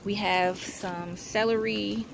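A woman vlogger narrating a haul casually at home, in a matter-of-fact, halting way as she lists items. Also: mid-range voice, fairly even intonation, creaky, noisy background. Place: indoors at home, carpeted room.

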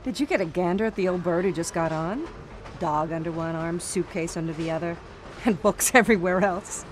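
An actress playing a tough, bossy bank teller turned thief, wry and slangy in a period radio drama. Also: female voice, lowish voice, brisk, background noise, close to an everyday voice.

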